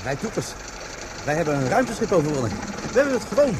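A man playing a boastful, simple fisherman of a sea tribe in a science fiction radio play, excitable and proud. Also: high voice, fast, very noisy. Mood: proud, excited.

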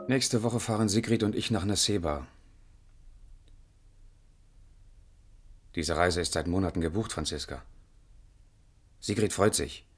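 An actor playing a brooding, torn intellectual lover in a measured, serious German radio play style. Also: male voice, low voice, a bit bright, husky. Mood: subdued, intimate. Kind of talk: 1980s German radio play acting, measured narration and intimate dialogue. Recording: long reverb, music in the mix.

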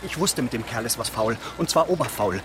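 A man's voice: medium pitch, animated, fairly quick, natural voice. Radio drama amateur sleuth, male actor: middle register, resentful, pushy, noisy surroundings. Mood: suspicious, insistent. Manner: insistent, resentful. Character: aggrieved, insistent man, an amateur investigator. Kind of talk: naturalistic radio drama acting.

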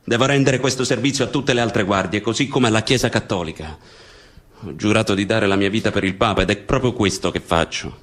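A man's voice: on the low side, a little fast, lightly acted. An actor playing an earnest young guardsman, solemnly reading out a farewell letter in a stage drama. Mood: solemn, aggrieved.

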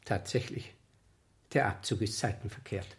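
An actor playing a deferential, somewhat flustered photo studio boss in a radio play. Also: male voice, lowish voice, moderate pace, close to an everyday voice. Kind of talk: 1970s German radio play acting.

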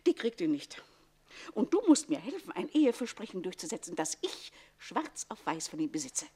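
A scheming middle-aged governess in a radio comedy. Her voice swings widely in pitch as she delivers mock-polite barbs with biting emphasis. She is jealous, sharp-tongued and theatrical. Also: very animated, normal speed, husky. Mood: conspiratorial.

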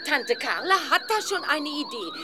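An excitable, cheeky adventuress with a high, swooping voice. She is theatrical and exclamatory, a bold family friend from a children's audio play. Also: normal speed, over music. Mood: sly, knowing. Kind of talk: children's audio drama acting.

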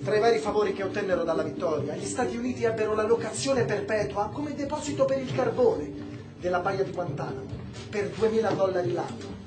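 Casting: male actor for impassioned, ironic Cuban man, radio drama, high voice, lecturing delivery, roomy echo. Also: fairly quick, over music, natural voice. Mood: sardonic lecturing. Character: Miguel, impassioned Cuban man, sardonic and politically outspoken. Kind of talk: Italian theatrical radio drama dialogue.

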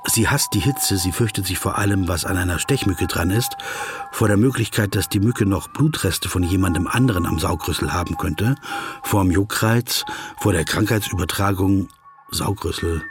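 A man's voice: low voice, moderate pace, music in the background. A man acting a pedantic, brooding, awkward corporate lawyer in a German radio drama, fussy and dryly ironic in his digressions. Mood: fussy, digressive. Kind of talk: German radio drama, dialogue and inner monologue.

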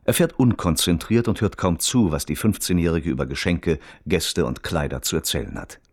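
Male radio-play reporter, deep voice, lively intonation, sober and precise, dry close studio sound. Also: normal speed, no room sound. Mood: sober, factual. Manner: precise, formal, matter-of-fact. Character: sober male reporter narrating a crime case. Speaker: narrator of a radio crime play. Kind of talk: sober documentary-style radio report narration.

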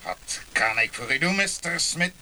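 Bright, sharp-voiced eager motel manager. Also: male voice, a little high, lively intonation, normal speed, slight background noise. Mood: eager, obliging. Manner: glib, patter-like. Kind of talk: comic radio play acting.

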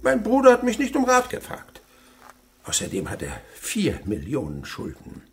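A man's voice: a little high, wildly swooping pitch, normal speed, husky, heavily acted. An actor playing a miserly, callous old father in a German radio play, blunt, scornful and domineering. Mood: scornful, lecturing. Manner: blunt, scornful, lecturing, abrupt swings in delivery.

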